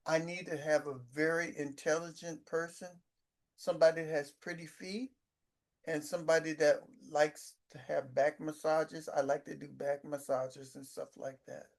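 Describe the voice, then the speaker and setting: A man's voice: fairly high pitch, little pitch movement, moderate pace, gravelly. A man in his sixties in casual chat, boasting, repeating himself and stumbling over his words. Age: 60s.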